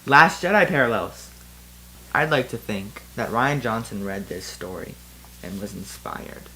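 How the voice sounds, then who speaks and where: A man's voice: on the low side, animated, a little slow, sharp tone, poor audio. A man hosting a comedic fan fiction reading, mixing theatrical, mock-serious narration with casual commentary.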